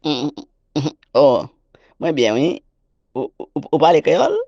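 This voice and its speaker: A friendly Haitian local, put on by a man, chats in a relaxed, amused way. His voice is creaky, husky and dark, and it rises and falls a lot. The recording sounds dull. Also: a little high, unhurried, no room sound.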